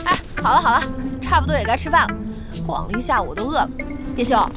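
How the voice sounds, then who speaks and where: An actress playing a bossy, warm-hearted, chatty young woman who runs an internet cafe in a radio drama, brisk and expressive. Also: high voice, over music. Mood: brisk, friendly.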